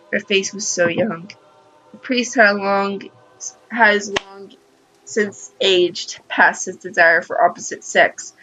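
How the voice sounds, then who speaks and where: A woman hosting a gaming video, reading a story aloud and adding casual, excitable asides. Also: mid-range voice, lo-fi sound. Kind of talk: let's play commentary, reading story aloud.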